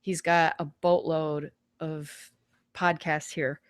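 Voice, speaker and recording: A woman hosting a webinar, giving a casual live software demonstration in an informal, folksy way, thinking aloud. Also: low voice, little pitch movement, takes it slowly, smooth, dry recording. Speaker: webinar host.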